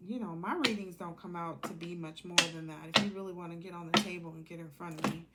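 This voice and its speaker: A woman's voice: on the low side, fast talker, heavy background noise. A woman giving a casual, intuitive tarot reading, speaking informally and bluntly as she drifts between asides. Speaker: tarot reader.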